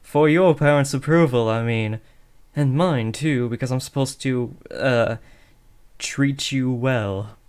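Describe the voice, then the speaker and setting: A man's voice: medium pitch, slow pace, darkish tone, clear, resonant tone, lightly acted. A young man acting an awkward, earnest teenage boy who is trying to be a supervillain, hesitant and often correcting himself, in a comedic audio roleplay. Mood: awkwardly reassuring.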